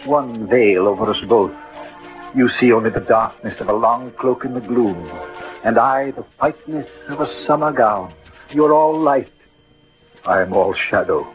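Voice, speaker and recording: A man's voice: mid-range voice, measured pace, music in the background, lightly acted. Man playing Cyrano, an ardent, lyrical poet-soldier. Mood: rapturous, tender. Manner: ardent, lyrical, theatrical delivery. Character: Cyrano, eloquent lovelorn poet-soldier, romantic and noble. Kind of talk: 1940s-50s radio drama acting. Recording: dull old radio recording.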